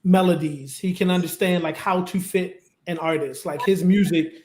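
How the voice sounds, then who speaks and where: A man's voice: high-pitched, steady tone, moderate pace, a little mellow. A man co-hosting a web interview show, easygoing and affirming as he asks questions and agrees with his guest. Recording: echoey room sound.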